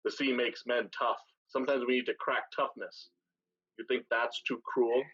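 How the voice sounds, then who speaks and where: Husky, commanding pirate captain, played by a man. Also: medium pitch, fairly even intonation, a bit bright, dull audio. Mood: cool menace. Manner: measured, authoritative, dry. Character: commanding, wry, ruthless male Chinese pirate captain. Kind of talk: staged reading of a stage play.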